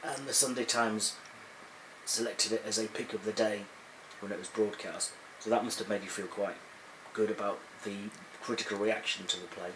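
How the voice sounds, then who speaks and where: A man's voice: fairly low pitch, steady tone, moderate pace. A man interviewing someone, asking questions in a conversational way. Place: at the interviewee's home, indoors. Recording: roomy, noisy home interview recording.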